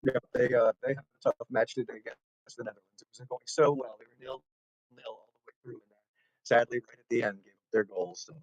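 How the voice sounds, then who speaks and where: A man's voice: medium pitch, steady tone, a little fast, highs cut off. A man hosting a casual interview show, chatting with wry asides. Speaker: host.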